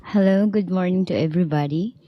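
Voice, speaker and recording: A woman's voice: on the low side, fairly quick, dry recording, muffled recording. A woman, shyly introducing herself as a podcast guest. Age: 40s. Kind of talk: self-introduction. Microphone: close podcast microphone.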